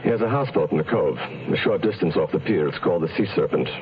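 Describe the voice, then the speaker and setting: An actor playing a courteous, earnest, slightly pompous self-help lecturer in a radio drama. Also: male voice, deep voice, animated, quick pace. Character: smooth-talking male self-help lecturer, courteous, self-justifying. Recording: dull, lightly noisy radio recording.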